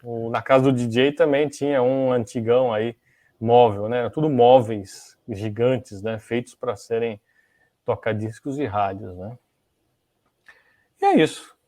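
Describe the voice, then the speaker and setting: A man's voice: mid-range voice, steady tone, unhurried, mellow, dry recording. A Brazilian man hosting a podcast, explaining things in a casual, didactic way and often checking in with small tag questions. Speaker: podcast host. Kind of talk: casual explanatory talk on music technology history.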